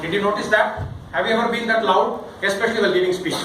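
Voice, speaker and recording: A man with an Indian accent giving an interactive public speaking training talk, jokey and animated, often checking with his listeners. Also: sits high, fast talker. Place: indoor room in front of a live group. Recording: very echoey room, distant mic, noisy.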